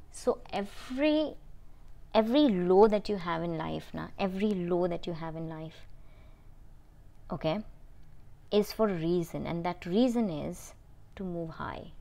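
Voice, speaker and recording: A middle-aged woman with an Indian accent speaks candidly, as if to her followers. Her voice sits a little low for a woman. She talks in a halting, personal way and circles back to repeat phrases for emphasis. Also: creaky, dark tone. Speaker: vlogger answering viewer questions.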